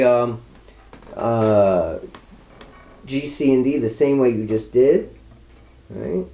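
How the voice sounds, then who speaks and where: Male guitar teacher, patient step-by-step delivery, soft guitar strums behind. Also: medium pitch. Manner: patient, walks students step by step. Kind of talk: live guitar lesson. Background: guitar playing.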